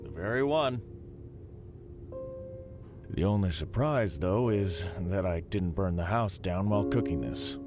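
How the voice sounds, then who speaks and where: An actor playing a weary, gruff police detective and devoted husband in an audio drama, with a dry, sardonic manner and a gravelly voice. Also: male voice, low-pitched, unhurried, long pauses, music in the background. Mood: affectionate, playful. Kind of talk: audio drama acting and first-person narration.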